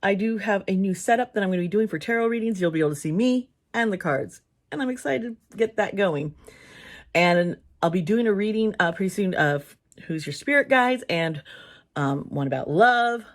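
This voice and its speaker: A woman with a hoarse, worn voice chatting casually to camera as a channel host, rambling a little and laughing often between thoughts. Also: medium pitch, expressive, moderate pace. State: hoarse, losing her voice. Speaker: tarot reader and channel host.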